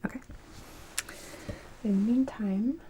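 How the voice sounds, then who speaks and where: A woman whispering softly and breathily in an ASMR medical roleplay as an optometrist, explaining things gently and without hurry. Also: on the high side, takes it slowly, background noise.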